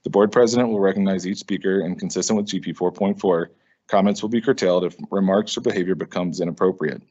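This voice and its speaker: Deep-voiced man chairing a board meeting. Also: mellow. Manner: brisk, procedural, measured reading. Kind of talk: reading formal meeting rules. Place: school board meeting room. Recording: dull lo-fi meeting audio.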